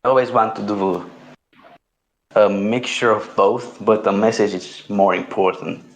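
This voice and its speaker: A young man from Italy, a native Italian speaker talking English, giving short, unhurried answers as an interview guest. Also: on the low side, steady tone, poor audio.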